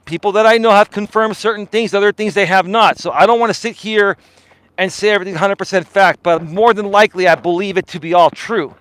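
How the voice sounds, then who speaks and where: A man's voice: high voice, quick pace, a bit bright, dry recording, rough recording. A man live-streaming while out on a walk, ranting bluntly at his viewers and hedging his claims with "in my opinion.". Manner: blunt, argumentative, repeats "in my opinion". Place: outdoors on a walk.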